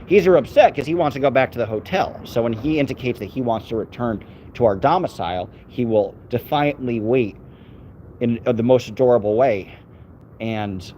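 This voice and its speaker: A man's voice: medium pitch, moderate pace, dark tone, slight background noise. A man walking his dog outdoors on city streets, chatting casually to his live-stream viewers and his dog in a rambling way.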